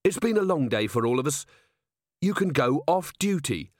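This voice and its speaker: A man voicing a calm, earnest police captain in a storybook, speaking politely and with firm command. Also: a little high, normal speed, dry recording, clean studio sound, pitched above the performer's own voice.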